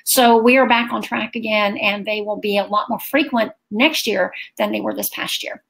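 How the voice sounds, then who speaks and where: A woman's voice: mid-range voice, fairly even intonation, normal speed, creaky, fairly bright tone, rough recording. A middle-aged woman, a guest author, talking earnestly in an interview. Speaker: guest, children's book author. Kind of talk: casual interview chat.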